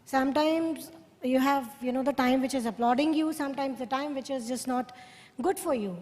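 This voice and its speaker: A woman neuroscientist speaks earnestly on a conference panel. Her voice is notably high, and she explains her points at length. A long reverb from a large hall trails her words. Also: moderate pace, a little mellow.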